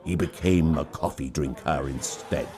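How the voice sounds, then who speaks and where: A man reading a story aloud in an expressive, theatrical storybook style. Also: extremely low pitch, unhurried. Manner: theatrical, dramatic delivery. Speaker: story reader.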